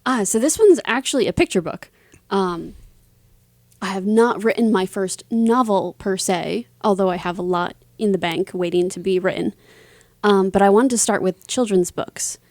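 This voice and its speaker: A woman speaks as an author being interviewed, in a casual, conversational style. Her voice sits at a middle pitch. The recording is dry, with no real room sound. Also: normal speed, darkish tone, no room sound.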